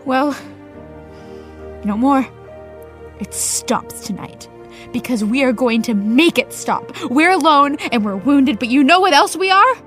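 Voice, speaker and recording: A woman's voice: sits high, animated, a little slow, pauses often, fairly bright tone, soft background music. A woman playing an eager, nervous aspiring actress in an audio drama, earnest and prone to stammering. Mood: intense, impassioned. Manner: hesitant, stammering.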